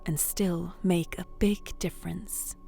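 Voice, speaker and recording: A woman hosting a bedtime story, guiding relaxation in a soft, soothing, often whispered voice. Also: fairly low pitch, fairly even intonation, takes it slowly, creaky, soft background music. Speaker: storyteller and host.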